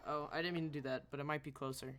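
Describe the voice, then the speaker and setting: A woman's voice: sits low, fairly even intonation, rapid-fire, fairly bright tone. A woman live-streaming a game with casual, blunt, offhand commentary and some swearing.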